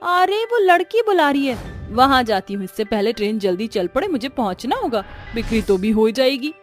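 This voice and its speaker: A woman's voice: on the high side, sing-song, normal speed, soft background music. A woman voicing a busy, hard-working street-food seller, eager and calling out, in a moral story.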